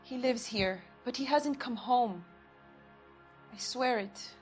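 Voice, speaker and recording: A young woman with a Spanish accent in a crime game drama. Her voice sits fairly high, and she phrases things carefully, loyal and defensive. There is soft music in the background. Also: slow. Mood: pleading. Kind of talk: dramatic video game acting.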